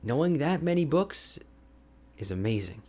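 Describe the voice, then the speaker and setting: A man playing an energetic, friendly young ice mage mentor in an ASMR roleplay, talking to the listener in an animated, informal, chatty way. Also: medium pitch, moderate pace. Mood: amazed. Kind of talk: ASMR roleplay audio drama, addressing the listener.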